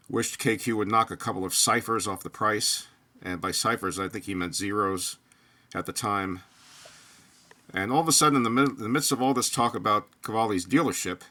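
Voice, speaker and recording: An older man speaks in a low but bright, forceful voice. He talks alone, rambling from point to point with restarts and pauses. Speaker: true crime researcher. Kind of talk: solo spoken monologue.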